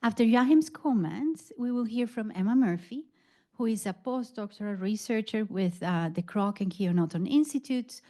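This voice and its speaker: A woman moderates an academic event and reads out introductions of the speakers. She is formal and pauses often on fillers. Her pitch sits in the middle for a woman. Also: lively intonation, mellow.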